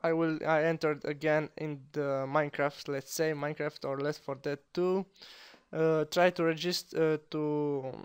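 A young man with a Romanian accent hosting a software tutorial at his computer, talking haltingly with many fillers and restarts. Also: a little high, smooth. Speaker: tutorial host. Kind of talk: screen-recorded software tutorial.

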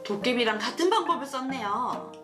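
Animated high-voiced woman hosting for children. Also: a little fast, over music. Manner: animated, sing-song, teacherly questioning. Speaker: children's show host and storyteller. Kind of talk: storybook narration and chat with a child.

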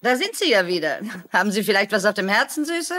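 A woman's voice: medium pitch, very animated, fast, sharp tone. An actress playing a strong, brash, mocking woman with slangy, taunting talk in a dubbed drama.

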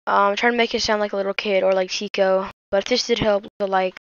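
Clear-voiced woman giving a casual tutorial. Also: medium pitch, steady tone, fast talker, dry recording, muffled recording. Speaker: host of a tech tutorial channel. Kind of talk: casual screen-recorded tutorial.

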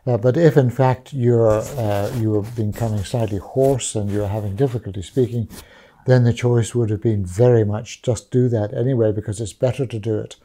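An older man interviewing a guest in a small study, quietly agreeing and adding his own reflections. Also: medium pitch, fairly even intonation, normal speed. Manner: supportive, frequent quiet agreement. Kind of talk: interview conversation. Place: small study with bookshelves, indoors.

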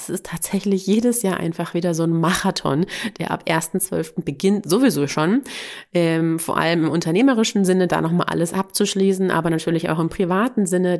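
A woman's voice: lowish voice, creaky, a little mellow. A woman in her thirties hosting a solo podcast, talking candidly and casually with a slightly congested voice from a cold. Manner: chatty, candid, with fillers and laughs. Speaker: podcast host.

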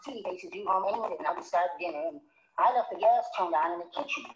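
An actor playing a meek, sly henpecked husband in 1940s radio comedy, speaking in a mild, prim, polite way. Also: male voice, high-pitched, fast, lo-fi sound, close to an everyday voice. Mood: timid. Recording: noisy, echoey old radio recording.

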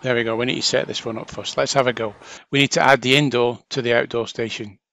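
A man narrating a step-by-step software tutorial in an informal, chatty way, talking through each action as an instructor. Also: mid-range voice, fairly even intonation, fast, gravelly, slight background noise. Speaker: training video instructor.

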